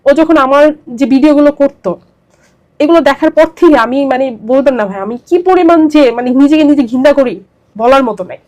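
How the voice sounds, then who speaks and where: A woman's voice: high-pitched, moderate pace, a little mellow. A young woman with a Bangladeshi accent telling her personal story as an interview guest, emphatic and repetitive as she pours out her account.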